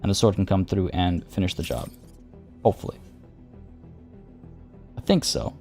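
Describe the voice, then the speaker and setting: A fairly low-voiced young man chats casually over his game, his voice slightly worn. He rambles, restarts sentences and fills pauses with "uh" and "like". Faint game music plays softly behind him. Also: expressive, vocal fry. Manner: rambling, many restarts and fillers. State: voice getting worn. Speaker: streamer. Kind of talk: casual gameplay commentary.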